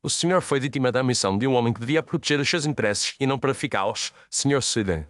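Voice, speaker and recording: An actor playing an analytical, authoritative detective with a formal, didactic manner in an audio mystery story. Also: male voice, mid-range voice, fast talker, no echo, close to an everyday voice. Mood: composed, didactic.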